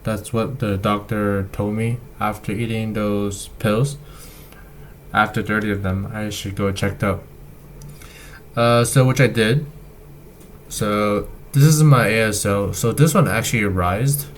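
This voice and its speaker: Young male vlogger, fairly low voice, halting casual delivery, faint hiss behind. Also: fairly even intonation. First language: not Chinese. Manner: halting, many fillers and restarts. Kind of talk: casual health update to camera.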